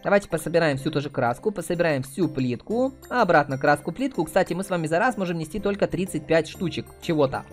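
A man's voice: fairly high pitch, animated, fast, few pauses, faint music behind. A man hosting a mobile game playthrough, giving chatty, casual commentary addressed to his viewers. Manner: chatty, uses cute diminutives.

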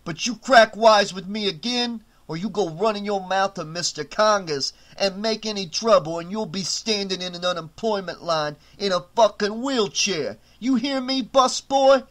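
A man's voice: high-pitched, moderate pace, hardly any pauses, a bit bright, voice pushed up. A snarling bully supervisor, as a man puts him on. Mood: menacing. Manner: snarling, profane threats. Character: menacing brutish male hotel supervisor, bullying.

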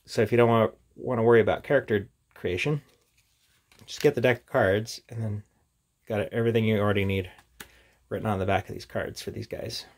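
A man's voice: on the low side, fairly even intonation, a little fast. A man giving a casual, off-the-cuff product review in an informal, chatty way as he presents. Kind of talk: casual unboxing and review chat.

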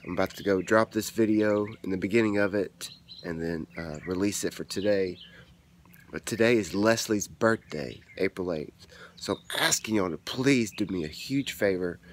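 A man in his thirties with a stuffy, congested voice, chatting to his viewers in a family vlog. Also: sits low. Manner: friendly, chatty, thanks viewers often. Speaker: family vlogger. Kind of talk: casual vlog talk to camera. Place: outdoors in a front yard, then indoors at home. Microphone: phone or camera held at arm's length.

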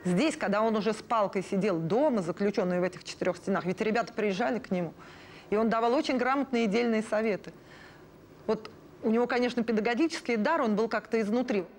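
A woman's voice: fairly high pitch, quick pace, slight background noise. A woman in her thirties telling personal memories expressively in a documentary interview. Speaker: artist, interviewee recalling family. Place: indoor studio room full of handmade puppets. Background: music.

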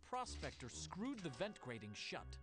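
A man's voice: on the high side, sing-song, measured pace. A man reading a children's story as a dramatic narrator. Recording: music under the voice. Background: loud music.

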